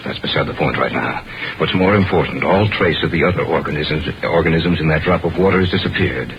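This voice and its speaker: A troubled research biologist of 1950s radio drama, talks in a very deep, weighty and earnest voice. The recording is dull, with clear noise over it. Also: male voice, brisk. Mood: insistent, grave. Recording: muffled, noisy old radio recording.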